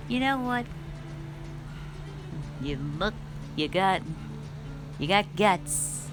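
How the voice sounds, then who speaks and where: A woman acts out a cute, sassy snake-tailed forest monster for a roleplay audio. She speaks in a slightly low, unhurried female voice, teasing bluntly and haltingly. Music plays clearly behind her. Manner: chatty, halting, blunt teasing with eager bursts. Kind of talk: roleplay audio addressed to the listener. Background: background music.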